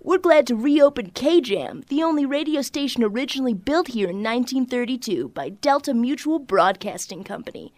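A woman's voice: sits high, lively intonation, normal speed, few pauses, a little mellow, dry recording. A woman playing a perky radio DJ co-host in a radio drama, announcing cheerfully.

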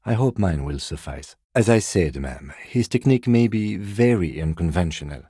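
A man playing a composed, dry-witted police lieutenant in a video game, speaking with measured, formal, deadpan courtesy. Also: low-pitched, husky, dry recording. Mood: formal, reassuring. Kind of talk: video game character dialogue.

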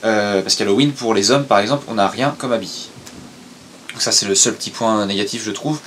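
A man's voice: on the low side, a little fast, a bit bright, slight background noise. A young man co-hosting a casual chat, steadily explaining news and announcements. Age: young adult. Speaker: co-host, son. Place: room with shelves of toys and merchandise.

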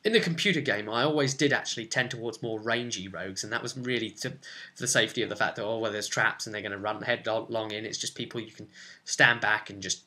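A man's voice: on the low side, quick pace, pushed and bright. A man in his twenties hosting an informal gaming explainer at home, talking in a rambling, conversational way with frequent tag questions. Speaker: tabletop gaming channel host. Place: small furnished room at home, indoors.

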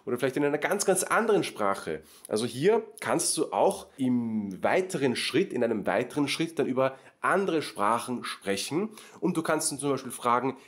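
A man talks learners through a lesson at a careful pace, restating each point in simpler words. His voice sits at a middling pitch, and he brightens a little when he acts out the questions learners might ask. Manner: repetitive, rephrases often, acts out example questions. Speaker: German teacher. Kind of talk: slow explanatory lesson for learners.

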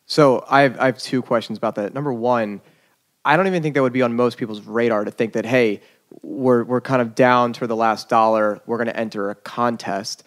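A man's voice: mid-range voice, fairly even intonation, fairly quick. A male podcast host asking long, winding interview questions.

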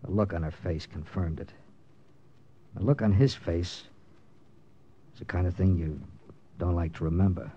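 Actor as tough, low-voiced wartime agent captain. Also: male voice, normal speed, pauses often, mellow, lo-fi sound, a little hiss or hum, lightly acted. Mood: tense, grim. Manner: clipped, dramatic. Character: tough, terse male wartime secret agent captain narrating his mission. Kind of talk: 1940s radio acting, hard-boiled first-person narration. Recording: old radio recording with music.

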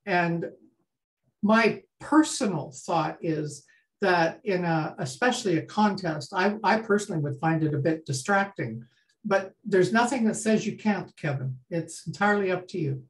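A woman's voice: lowish voice, a little slow, low-quality recording. A woman, leading an informal workshop over a video call. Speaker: workshop leader. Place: small home room, indoors. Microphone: video call computer mic.

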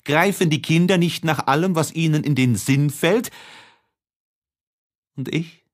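A man playing a tormented, lovesick young man in an audiobook of a classic novel, emotive and halting, with heavy pauses. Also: on the high side, voice pushed up, lightly acted. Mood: frustrated desire. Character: tormented, lovesick young man writing despairing diary letters.

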